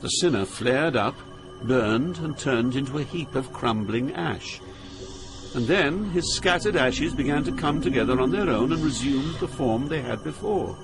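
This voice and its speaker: An older actor playing a solemn poet, narrating his journey through hell in a measured, literary way. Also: medium pitch, expressive, gravelly, music in the background, rough recording. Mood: grave, haunted. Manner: grave, measured, poetic.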